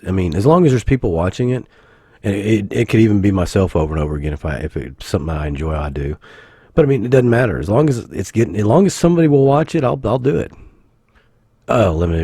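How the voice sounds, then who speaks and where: A man, husky from the flu, rambling casually as a gaming channel host with self-corrections and joking asides. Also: fairly low pitch, mellow, no room sound, studio quality. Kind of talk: casual anniversary vlog talk.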